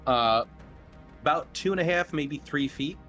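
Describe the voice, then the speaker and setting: A man's voice: a little high, measured pace, fairly bright tone. A man running a tabletop horror game as game master, narrating casually and feeling his way through explanations. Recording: faint music under the voice. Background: music.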